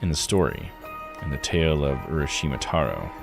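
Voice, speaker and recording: A man's voice: extremely low pitch, very animated, a little fast, creaky. A man giving casual commentary as a game streamer, rambling as he thinks aloud. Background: music.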